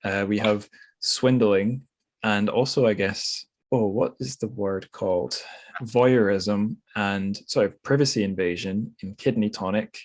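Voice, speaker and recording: Man, lowish voice, podcast host, conversational, tentative, wandering phrasing, literary discussion. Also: steady tone, measured pace. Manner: hesitant, rambling, thinking aloud.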